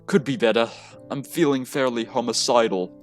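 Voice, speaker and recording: A man's voice: mid-range voice, moderate pace, soft background music, natural voice. A man voicing a sardonic, short-fused teenage schoolboy in a visual novel, blunt and sarcastic. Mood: dry, angry.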